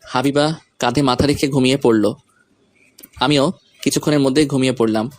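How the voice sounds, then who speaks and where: A man's voice: mid-range voice, steady tone, brisk. A man reading a scripted first-person story, narrating events and speaking the lead character's lines.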